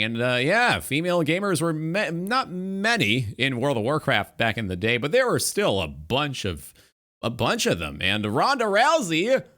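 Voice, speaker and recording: Forceful, animated man hosting a stream. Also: medium pitch. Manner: profane, hyped. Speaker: talk show host and streamer. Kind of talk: casual commentary over played clips.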